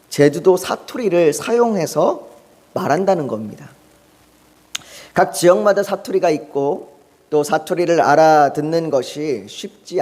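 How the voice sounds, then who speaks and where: A male pastor delivering a Sunday sermon, telling stories calmly and rising in emphasis when he urges his point. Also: fairly high pitch. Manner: calm storytelling, rising in emphasis when urging. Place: church sanctuary. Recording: long reverb of a church hall.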